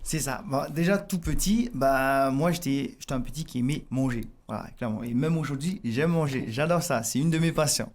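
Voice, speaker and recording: A man's voice: medium pitch, a little fast, few pauses. Tahitian-accented man explaining eagerly. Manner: eager, explanatory, frequent restarts and fillers. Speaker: fitness coach guest. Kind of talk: radio interview, explaining his method. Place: radio studio.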